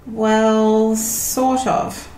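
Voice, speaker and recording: A woman's voice: a little high, little pitch movement, extremely slow, darkish tone, roomy sound. A woman playing a cool, skeptical crew member in a space audio drama, hesitant and dry.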